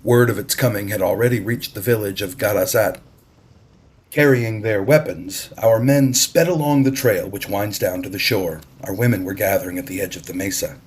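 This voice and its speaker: A man narrates a storybook in a slightly low voice with a husky edge. He reads the prose aloud as an audiobook reader would. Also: normal speed.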